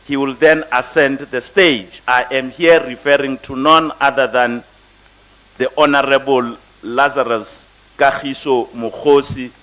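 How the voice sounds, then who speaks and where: A man hosting a formal gala dinner as master of ceremonies, speaking haltingly with pauses and fillers. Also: medium pitch, steady tone, a bit bright, low-quality recording. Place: banquet hall at a gala dinner.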